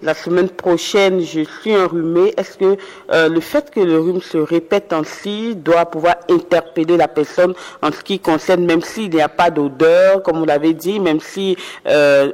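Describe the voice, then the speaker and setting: A woman's voice: low-pitched, a little mellow, big-room sound. A woman interviewing a doctor, asking long questions with many hesitations. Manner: hesitant, with frequent drawn-out fillers.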